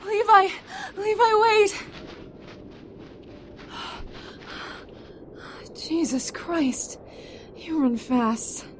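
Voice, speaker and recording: A woman's voice: extremely high pitch, very animated, takes it slowly, fairly bright tone, slight background noise, full character voice. A woman playing a fierce, stubborn yet tender young survivor in an emotional audio drama, speaking with earnest, emphatic pleading. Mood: urgent. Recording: dramatic music behind the voice.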